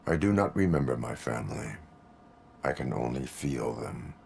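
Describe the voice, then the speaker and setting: Stoic cyborg policeman, extremely low voice, terse and formal, video game, some background noise. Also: gravelly, full character voice. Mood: solemn, restrained. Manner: flat, deliberate, formal, terse.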